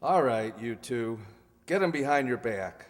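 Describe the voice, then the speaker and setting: A man playing a plain-spoken police officer in an old-time radio crime drama, steady and matter-of-fact. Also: medium pitch, a little slow, background noise, close to an everyday voice. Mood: brisk, in control. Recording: echoey hall stage recording.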